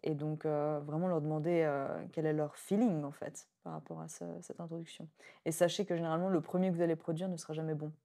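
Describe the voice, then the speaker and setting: Woman host, low voice, chatty and thoughtful, keeps saying en fait. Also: steady tone, fast, warm tone. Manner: chatty, reflective, laughs easily, leans on "en fait". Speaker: podcast host. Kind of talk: casual podcast conversation.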